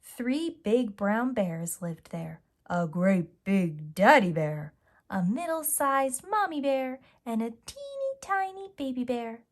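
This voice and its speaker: A woman telling a story to preschoolers in an expressive, emphatic way. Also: on the high side, takes it slowly. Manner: emphatic, draws out size words. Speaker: children's storyteller.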